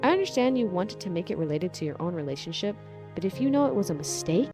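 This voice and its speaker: A woman's voice: fairly low pitch, very animated, fast talker. A woman delivering critical scripted commentary as a video commentator. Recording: music behind the voice. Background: background music.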